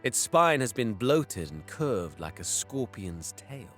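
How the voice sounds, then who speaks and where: A man playing a bitter, scheming young alien warrior, narrating and speaking with measured, guarded care in an audio drama. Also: fairly low pitch, very animated, fairly bright tone, faint music behind, heavily acted. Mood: brooding narration. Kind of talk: first-person dramatic narration and dialogue.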